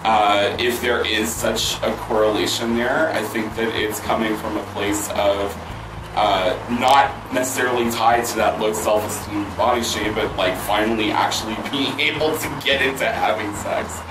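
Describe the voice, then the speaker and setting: A man hosting a vlog, answering viewer questions in casual chat, hoarse with a worn-out voice and rambling with many fillers and restarts. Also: on the low side, fairly even intonation, normal speed. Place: outdoors near a pool with loud music. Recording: roomy, mic at a distance, loud music behind.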